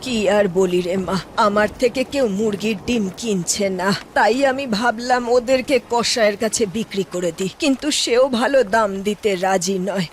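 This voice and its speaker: A woman's voice: medium pitch, moderate pace, hardly any pauses, slight background noise, voice pushed down, close to an everyday voice. A woman voicing a motherly middle-aged egg seller, fretful and affectionate, in a cartoon story. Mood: dejected. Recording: music behind the voice.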